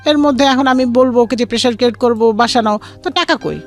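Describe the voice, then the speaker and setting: A woman's voice: fairly high pitch, quick pace, a little mellow. Bangladeshi woman telling her story over music. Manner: re-enacts conversations, quoting both sides. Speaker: guest telling life story. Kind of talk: personal storytelling in an interview. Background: background music.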